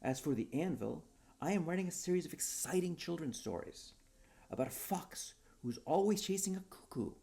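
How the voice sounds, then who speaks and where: A man's voice: a little high, expressive, moderate pace, creaky, warm tone, voice pushed up. A man voicing an eager, whimsical wordsmith in a storybook tale, talkative and theatrical like a salesman. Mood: proud, whimsical. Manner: theatrical, earnest salesman patter.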